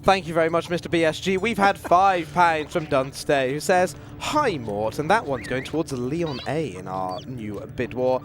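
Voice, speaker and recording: A male host with a fairly high voice presents a gaming marathon. He reads out donation messages in a presenter's style, with soft game music in the background. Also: animated, moderate pace, few pauses. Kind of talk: event hosting, reading out messages.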